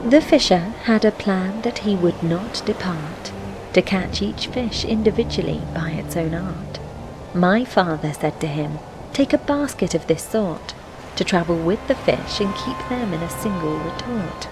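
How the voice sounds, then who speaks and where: A woman narrating a fantasy adventure game, reciting rhyming story verse in a measured, poetic way. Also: on the low side, animated, noisy background. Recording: music behind the voice. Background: background music.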